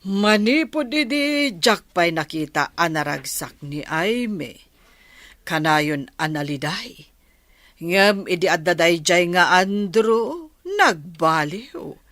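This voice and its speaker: A woman's voice: on the low side, very animated, slow, fairly bright tone, no echo, exaggerated voice. A woman with an Ilocano Filipino accent playing a scheming, falsely sweet stepmother in a radio drama. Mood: insinuating, coaxing.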